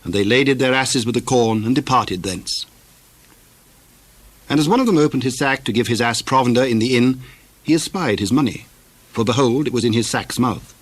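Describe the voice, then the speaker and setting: A man narrating scripture in archaic English, solemn and measured. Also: medium pitch.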